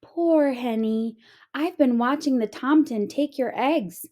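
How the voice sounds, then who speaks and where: A woman voicing a kind, clever little hedgehog in a storybook, gentle and reassuring. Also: high-pitched, takes it slowly. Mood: sympathetic.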